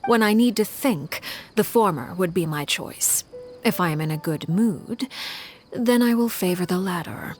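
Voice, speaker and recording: An actress playing a poised, calculating woman leader who speaks in a formal, measured way, with faint music behind. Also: fairly low pitch, lively intonation. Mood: composed. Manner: formal, measured, precise diction. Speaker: video game character. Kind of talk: scripted game voice lines.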